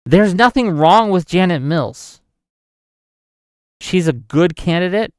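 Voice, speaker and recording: Smooth-voiced man in his forties. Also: sits high, expressive, slow, dry recording, clean studio sound. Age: 40s. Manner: conversational, answers chat questions, thinks aloud. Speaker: livestream host. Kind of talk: casual political chat with live chat.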